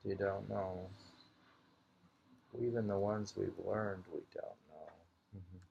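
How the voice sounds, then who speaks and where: A man reading short poems in an unhurried, halting way, repeating lines slowly and musing on them informally in a dull, lo-fi home recording. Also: low-pitched, steady tone, vocal fry, slight background noise. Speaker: reader of a poetry anthology.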